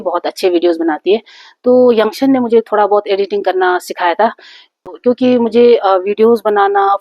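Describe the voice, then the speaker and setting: A woman talks casually to her camera as a vlogger, rambling along with plenty of fillers and restarts. Her voice sits at an ordinary middle pitch, a little rough from a lingering cold. The recording is dry, with no room echo. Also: darkish tone. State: sore throat from a cold. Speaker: YouTube vlogger. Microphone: phone.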